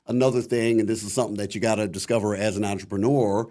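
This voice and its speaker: A middle-aged man with a deep voice and a Midwestern American accent, chatting reflectively as a voice actor guest in an interview. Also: level tone, quick pace, dark tone. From Akron, Ohio, USA. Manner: rambling, many "you know" fillers, reflective. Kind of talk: casual interview talk.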